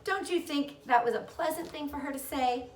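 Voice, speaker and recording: A woman's voice: high voice, moderate pace, reverberant, far from the mic, slight background noise, pitched above the performer's own voice, natural voice. A woman voicing a chatty, earnest young girl in a storybook, speaking eagerly and matter-of-factly.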